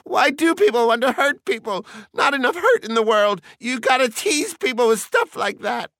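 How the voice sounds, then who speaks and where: An indignant housewife, pitched far above a man's usual register, with a sharp, forceful edge. She scolds and scorns with outraged emphasis, as a character in a storybook reading. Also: big pitch swings, normal speed, no echo, clean studio sound, exaggerated voice. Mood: bitter scolding.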